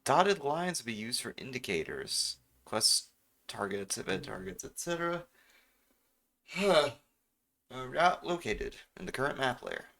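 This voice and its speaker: A man's voice: medium pitch, big pitch swings, a little slow, gravelly, bright, forceful tone. A man giving casual, offhand live commentary as he streams a game, often talking to himself. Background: game music.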